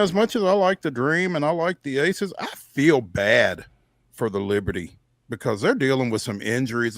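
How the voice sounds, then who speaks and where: A man with a medium-pitched voice co-hosts a sports talk show. He speaks in a casual, measured way with thoughtful pauses, in a very dry acoustic. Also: a bit bright, no echo. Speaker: sports radio co-host.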